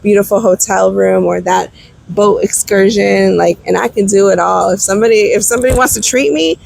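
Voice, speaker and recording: A woman's voice: mid-range voice, brisk, vocal fry, a little hiss or hum. A woman whose first language is Spanish, a guest telling enthusiastic stories in a casual interview chat. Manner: lively, enthusiastic storyteller. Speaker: guest, travel business founder. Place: outdoors on a beach.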